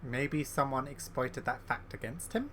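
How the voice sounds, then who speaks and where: An inquisitive young traveler from a video game, speaks in short questions. The voice is mid-pitched and bright, with clear noise behind it. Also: male voice, lively intonation, unhurried. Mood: curious. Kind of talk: scripted video game dialogue.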